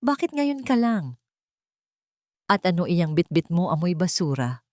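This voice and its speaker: A woman's voice: fairly low pitch, sing-song, a little slow, a little mellow, no room sound, studio quality. A woman voicing a haughty, bossy, rich young bride-to-be in an audio story. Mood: scornful, bossy. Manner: scornful, commanding.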